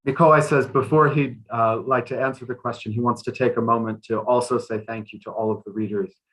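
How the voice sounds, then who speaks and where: A man working as translator and interpreter at a live talk, speaking haltingly with repeated words. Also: mid-range voice, fairly even intonation, fairly bright tone, rough recording.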